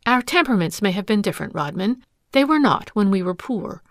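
A woman's voice: medium pitch, expressive, moderate pace, no room sound, clean studio sound, voice pushed up, lightly acted. A woman voicing a proud, bitter wife who has been wronged, speaking with passionate scorn. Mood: pleading. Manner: scornful, passionate, breaking into sobs.

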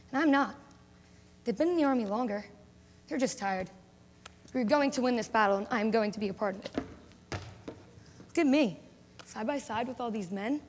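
A woman's voice: on the high side, a little mellow, slight background noise, natural voice. A woman playing a determined young woman who wants to fight, speaking in an insistent, defiant way in an amateur drama. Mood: eager. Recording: echoey hall.

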